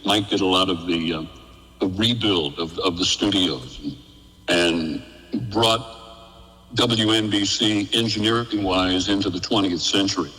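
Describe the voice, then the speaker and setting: A man's voice: sits low, unhurried, sharp tone, long reverb tail, rough recording. An older man, a radio veteran, reminiscing casually as an interview guest.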